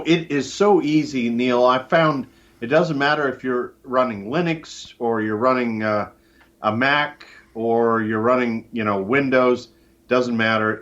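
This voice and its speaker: A man from the United States, a guest on a live show, explaining things conversationally with promotional enthusiasm. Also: mid-range voice. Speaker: guest, contest organiser. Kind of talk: conversational live interview by call-in. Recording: dull, band-limited call-in line.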